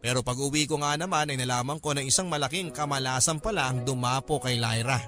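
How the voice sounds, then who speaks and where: A man's voice: mid-range voice, fast talker. A man narrating a scripted first-person story as a radio host, polite and conversational. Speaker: radio drama host reading a letter. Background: background music.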